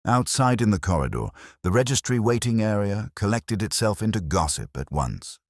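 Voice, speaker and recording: A man narrating a scripted mystery story in a dry, measured, wry literary manner. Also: fairly low pitch, very animated, dry recording.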